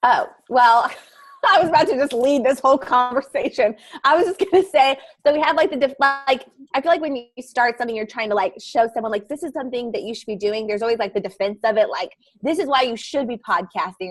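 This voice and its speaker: A woman podcaster and blogger chatting casually on a live panel, laughing often at herself and using lots of fillers. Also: fairly high pitch. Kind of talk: casual panel chat on a livestream.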